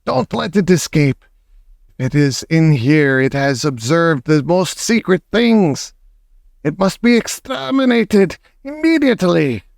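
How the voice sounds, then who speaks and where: A man's voice: on the high side, animated, slow, creaky, a little mellow, no echo, clean studio sound, voice pushed up, full character voice. A man reading an audiobook, voicing a bossy, contemptuous female witch leader who barks orders. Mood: screaming. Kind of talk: audiobook character voice.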